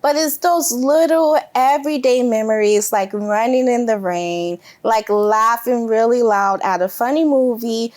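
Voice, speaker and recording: Upbeat woman talk show host. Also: a little high. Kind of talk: casual chat.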